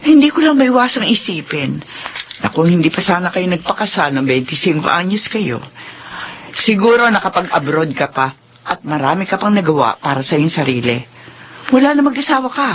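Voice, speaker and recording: A woman's voice: on the low side, sing-song, moderate pace, slight background noise. A nagging older mother. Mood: concerned. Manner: nagging, protective. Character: older mother, doting, critical of daughter-in-law. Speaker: mother of the husband. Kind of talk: radio drama acting. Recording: dull lo-fi radio recording.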